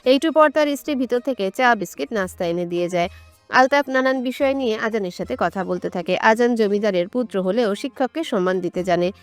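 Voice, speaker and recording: Female storyteller for scripted romantic fiction, medium-pitched, with light music underneath. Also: brisk, dry recording. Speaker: story narrator.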